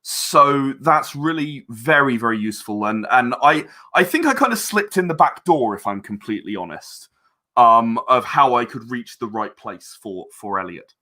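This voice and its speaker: A man's voice: mid-range voice, animated, moderate pace, pushed and bright. A man being interviewed as an audio drama writer and director, talking conversationally in a reflective, self-deprecating and hesitant way.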